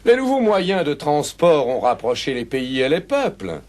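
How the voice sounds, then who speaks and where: A man playing a composed, courteous young English gentleman in a dubbed cartoon, precise and assured. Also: on the high side, big pitch swings, moderate pace. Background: music.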